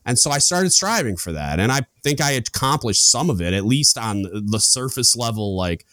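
A man hosting a podcast, talking frankly in a loud booming voice, rambling with lots of "like" and casual swearing. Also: sing-song, normal speed, no echo. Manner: rambling, heavy use of "like", frank and profane. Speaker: podcast host. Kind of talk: casual chat.

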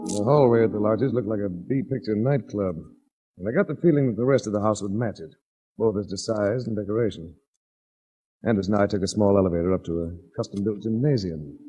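An actor playing a cool, wry insurance investigator in a 1940s radio drama, with dry, understated, clipped delivery. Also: male voice, on the low side, fairly quick, dark tone, lo-fi sound, slight background noise, lightly acted. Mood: dry narration. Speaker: lead actor and narrator. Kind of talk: 1940s radio detective acting.

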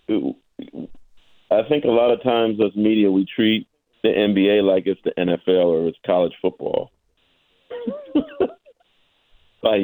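Male sports pundit, deep voice, dry close sound, conversational and halting, checks in with "right?". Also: warm tone, dry recording, poor audio. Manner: halting, repeats words, often tags points with "right?". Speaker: sportswriter guest. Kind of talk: casual basketball discussion.

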